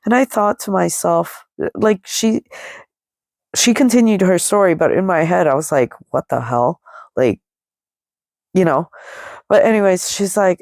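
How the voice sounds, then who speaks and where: A woman's voice: on the low side, vocal fry, a little mellow, no room sound, studio quality. A middle-aged woman podcast host telling a personal story casually in a rambling, conversational way, with a nasal voice stuffed up by a head cold. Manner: rambling, conversational, quoting dialogue as she goes. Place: indoors, lying down, dry close sound.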